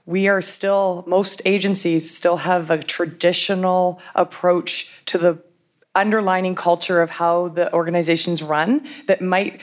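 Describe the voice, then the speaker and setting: Frank, low-voiced woman panelist. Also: little pitch movement, a little slow. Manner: frank, forthright, opinionated. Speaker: transit executive panelist. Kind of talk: panel discussion.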